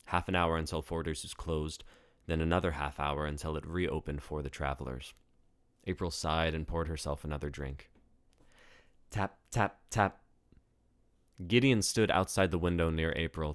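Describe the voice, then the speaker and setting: A man with a deep voice narrates fiction as an author reading his own book. The delivery is scripted storytelling. The recording is very dry, with little room sound. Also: clear, resonant tone, no room sound.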